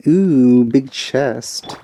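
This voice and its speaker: A man's voice: medium pitch, very slow, mellow, a little hiss or hum. A man giving casual, offhand commentary as he plays a video game, with a wry manner. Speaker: gamer, let's play host.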